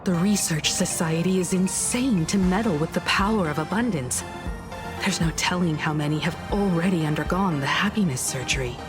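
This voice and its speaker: A woman's voice: on the low side, normal speed, over music, natural voice. An actress voicing a serious, concerned woman giving a grave account in a video game.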